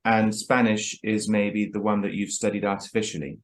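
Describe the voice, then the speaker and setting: Low-voiced man hosting an interview. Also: level tone, normal speed. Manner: hesitant, friendly questioning with fillers. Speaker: host. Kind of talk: casual interview over video call.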